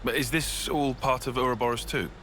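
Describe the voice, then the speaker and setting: A male actor as a pragmatic young soldier in a game. He speaks in a medium male pitch, serious and dry. Faint ambience sits behind the voice. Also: steady tone, fairly quick, a bit bright, a little hiss or hum, close to an everyday voice. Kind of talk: video game cutscene acting.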